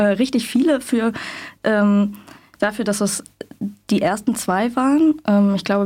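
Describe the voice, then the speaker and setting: A young woman activist answering interview questions in a radio studio, thoughtful and hesitant, with many pauses and fillers. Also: medium pitch, a little mellow. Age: young adult. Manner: thoughtful, many ums and restarts. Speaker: activist guest.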